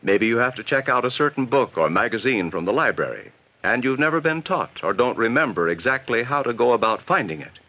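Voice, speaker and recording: An American man reading scripted, instructive narration in a measured, advising way for a 1960s educational film. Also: medium pitch, sing-song, pushed and bright. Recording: dull vintage 1960s film soundtrack.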